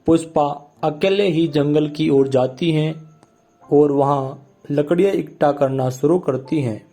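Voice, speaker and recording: Slow, mellow male storyteller over music. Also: on the high side. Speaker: story narrator. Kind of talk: scripted moral story narration in simple language. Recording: music bed under the voice.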